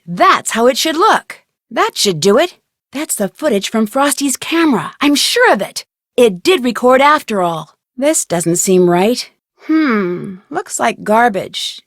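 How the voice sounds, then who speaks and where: Video game lead: inquisitive young American woman sleuth, plucky, conversational, crisp, higher register, dry studio sound. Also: fairly bright tone, no room sound, exaggerated voice. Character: plucky, inquisitive young female amateur detective. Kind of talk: scripted video game voice acting.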